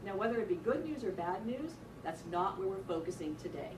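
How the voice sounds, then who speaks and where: A woman's voice: medium pitch, animated, brisk. Woman presenting in an echoey hall. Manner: loud teacher voice, walks the audience through slides. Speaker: workshop presenter. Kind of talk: professional development presentation. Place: lecture room, broadcast to remote sites. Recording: echoey room from far off, noisy.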